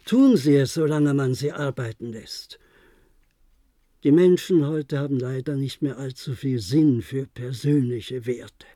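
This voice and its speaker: An actor in a German radio play, playing an older, resigned former diplomat who reminisces in a measured, formal way. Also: male voice, mid-range voice, dark tone, dry recording, clean studio sound, close to an everyday voice. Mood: understanding, regretful. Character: resigned, reflective former diplomat, aging bunker survivor.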